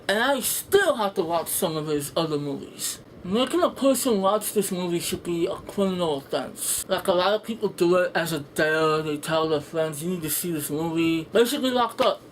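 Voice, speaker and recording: A woman's voice: fairly low pitch, low-quality recording. Female reviewer for an improvised film rant: fed up, blunt and swearing freely, with emphatic delivery and some background noise. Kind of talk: unscripted ranting movie review.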